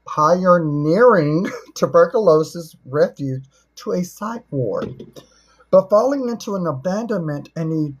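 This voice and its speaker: Husky, teasing woman. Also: fairly low pitch, lively intonation, takes it slowly. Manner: folksy, teasing, joking. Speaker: livestream host. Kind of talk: casual livestream chat.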